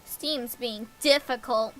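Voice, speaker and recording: A woman's voice: fairly high pitch, slow pace, fairly bright tone, faint music behind, low-quality recording. A woman livestreamer chatting casually and playfully to herself, slipping into sing-song babble. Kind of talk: casual livestream chat while setting up games. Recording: clear background hiss.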